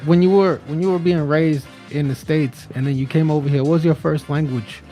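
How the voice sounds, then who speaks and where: A man hosting a radio talk show, interviewing a guest casually with lots of quick "gotcha"s and "right"s. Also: fairly high pitch, fairly even intonation, dark tone, soft background music. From New York. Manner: chatty, quick backchannels. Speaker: radio host. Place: radio studio.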